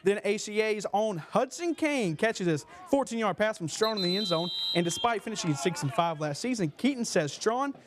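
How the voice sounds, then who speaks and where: A man's voice: high-pitched, expressive, normal speed, hardly any pauses. A young man reading a scripted sports newscast as a TV anchor. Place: small TV news studio. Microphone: lapel mic.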